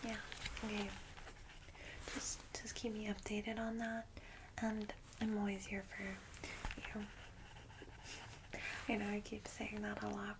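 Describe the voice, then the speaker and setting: Caring vampire guide, played by a woman: female, whispered ASMR, gentle, reassuring, little pitch movement, noticeably noisy close-mic recording. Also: lightly acted. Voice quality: soft, breathy whisper. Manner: gentle, hesitant, reassuring, informal.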